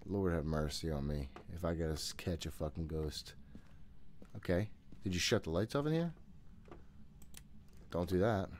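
Low-voiced man, profane gamer. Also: normal speed, pauses often, darkish tone, a little hiss or hum. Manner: profane, jittery, talking to himself. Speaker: gaming host. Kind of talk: casual gameplay commentary. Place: room at home.

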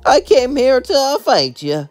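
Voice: high voice, big pitch swings, unhurried, a little mellow, faint music behind, pitched above the performer's own voice. A man voicing a cheerful, goofy cartoon plumber hero with a mock Italian accent and bouncy, simple speech. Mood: defiant, playful. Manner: exaggerated, broken simple English, bouncy.

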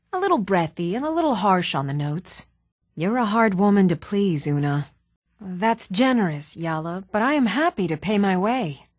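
Actress as an even-toned fantasy game woman, close and dry. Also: mid-range voice, no echo, close to an everyday voice. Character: even-toned adult woman of a fantasy world, composed and earnest. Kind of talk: scripted video game character dialogue.

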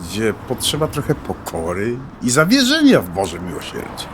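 A man's voice: fairly low pitch, huge pitch swings, normal speed, a bit bright, a little hiss or hum. An actor playing a devout middle-aged man, a teasing and reassuring friend who talks colloquially in an audio drama. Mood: earnest, persuasive. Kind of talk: dialogue acting in an audio drama.

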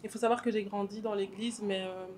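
A young woman guest recounting her story, soft-spoken with many fillers. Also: mid-range voice, steady tone, a little fast, a little hiss or hum. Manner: soft, frequent "en fait" and "euh". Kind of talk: personal testimony in interview. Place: hotel lounge, indoors.

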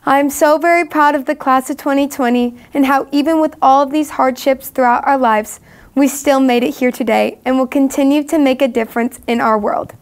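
A woman's voice: sits high, fairly even intonation, a little fast. A teenage young woman from the United States giving an upbeat, heartfelt student commencement speech, recorded in a studio in front of a curtain. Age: teens. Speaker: student graduation speaker.

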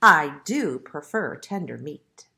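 A woman's voice: deep voice, very animated, takes it slowly, vocal fry, bright, forceful tone, voice pushed down, heavily acted. A woman voicing a hungry, gullible, blustering male fox from a storybook, emphatic and growling. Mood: pondering. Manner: emphatic, growling, easily exasperated. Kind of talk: children's storybook read-aloud.

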